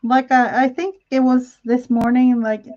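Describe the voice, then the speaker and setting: Hesitant woman with a slightly high voice. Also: flat delivery, a little slow, muffled recording. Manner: hesitant, many fillers, soft laughs. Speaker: co-host. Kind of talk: casual livestream chat.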